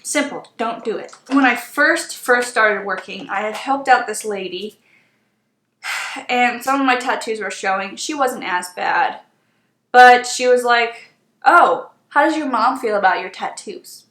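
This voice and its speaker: A young woman in her twenties chats through a story time while doing her makeup, her voice sitting a little high. She sounds emphatic and exasperated, and the room around her rings with a noticeable echo. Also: measured pace, a bit bright, slight background noise. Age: 20s. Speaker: beauty vlogger. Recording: echoey room.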